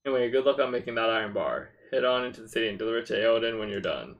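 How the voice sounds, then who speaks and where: A man's voice: mid-range voice, rapid-fire, gravelly, a bit bright, highs cut off. A man reading game dialogue aloud as a wry, chatty quest-giver from a fantasy town.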